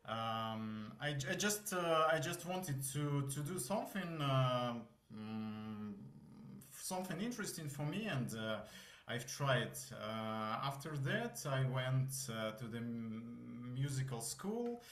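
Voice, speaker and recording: A man in his thirties from Russia with a Russian accent, a voice student telling his story in a podcast interview, halting and searching for words. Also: medium pitch, very animated, fairly bright tone. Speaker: voice student guest. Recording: echoey room.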